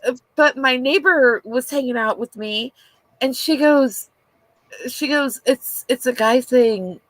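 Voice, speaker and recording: A bubbly woman in her fifties who talks in a high voice and takes her time with each thought. The sound is dry and close, with no room around it. She chats as a guest and laughs at herself. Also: takes it slowly, a bit bright, no echo, rough recording. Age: 50s. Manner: bubbly, chatty, self-deprecating. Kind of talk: casual chat on a live stream.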